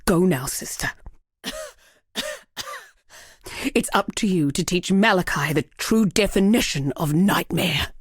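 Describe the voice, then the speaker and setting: An actress playing a proud, sardonic villainess and world-weary killer in a video game, speaking in a theatrical, measured way. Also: female voice, fairly low pitch, lively intonation, slightly rough, dry recording, clean studio sound. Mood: wry, menacing. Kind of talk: dramatic scripted game dialogue.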